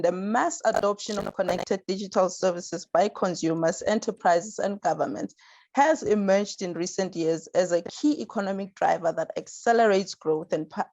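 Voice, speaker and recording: A woman's voice: medium pitch, darkish tone. A woman moderating an online panel, reading out introductions and figures with a few stumbles. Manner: reads introductions and statistics, some stumbles. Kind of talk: hosting a webinar panel. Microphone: video call.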